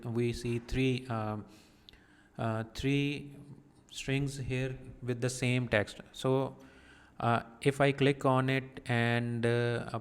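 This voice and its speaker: A man explaining a software setting step by step in a halting, methodical way, pausing often as he walks through a screen recording. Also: medium pitch, clean tone, long reverb tail. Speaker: software tutorial presenter.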